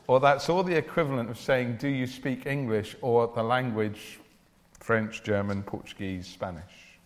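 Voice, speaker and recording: A man preaching a church sermon in an earnest, deliberate way, with declarative phrasing. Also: mid-range voice. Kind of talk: evangelical church sermon with Bible reading and prayer. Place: large church or hall, speaking to a congregation. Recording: reverberant hall, long echo.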